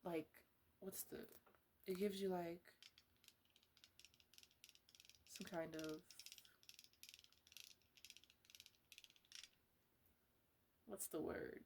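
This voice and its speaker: A woman playing a chatty, easily exasperated botanist who mothers her plants in an improvised ASMR roleplay, talking in a halting, casual, playfully scolding ramble. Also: on the low side, fairly even intonation, vocal fry, dark tone, a little hiss or hum. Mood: musing, halting.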